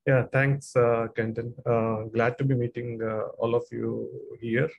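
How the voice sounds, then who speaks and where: A man's voice: mid-range voice, flat delivery, a little slow, vocal fry. A man from India, an engineering manager, presenting in a webinar over a video call, with frequent hesitant uhs. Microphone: video call microphone. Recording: dull lo-fi call audio.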